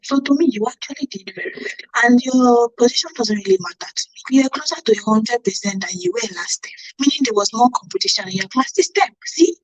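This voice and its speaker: A woman's voice: on the high side, slightly rough, low-quality recording. A woman with a Nigerian accent playing a protective, consoling mother in a radio drama. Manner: pleading, reassuring. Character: protective, consoling mother defending her son.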